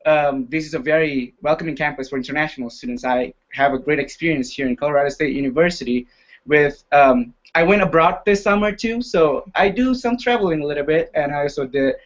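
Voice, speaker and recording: A man's voice: a little high, fairly quick, lo-fi sound. A young man from Indonesia whose first language is not English, introducing himself as a student panelist in a friendly, self-deprecating way with many fillers. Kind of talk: casual introduction on a live web chat.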